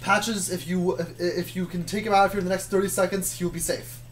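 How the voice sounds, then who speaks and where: A stubborn soldier, played by a woman in a fairly low voice. The delivery is matter-of-fact and deadpan, rambling on argumentatively in an improvised comic story. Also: a little fast, slight background noise, close to an everyday voice.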